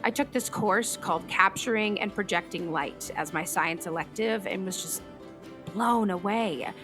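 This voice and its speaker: Woman playing a thoughtful storm scientist, radio drama, mid-pitched, naturalistic, reflective, conversational, music behind. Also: a little slow, close to an everyday voice. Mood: wistful, open. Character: thoughtful female meteorologist and hobby comic illustrator.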